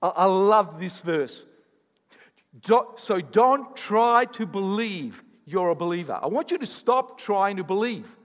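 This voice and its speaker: High-voiced emphatic preacher man in a hall. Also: animated, unhurried. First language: not English. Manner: emphatic preaching with rising bursts and asides. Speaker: pastor. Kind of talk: sermon.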